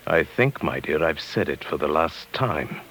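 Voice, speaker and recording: An actor playing a meek, henpecked British professor with murder in mind, hesitant, formal and mild in an old radio drama. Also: male voice, very deep voice, lively intonation, a little slow, fairly bright tone, breathy edge, heavily acted, poor audio. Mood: brooding narration. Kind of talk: 1940s radio acting. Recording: 1940s radio drama with background music.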